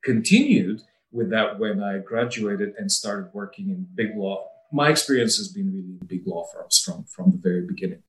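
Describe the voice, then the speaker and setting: A middle-aged man from Bulgaria, a lawyer, giving a measured and articulate personal account. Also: lowish voice. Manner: measured and articulate, occasional light laughs. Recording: roomy echo, mic at a distance.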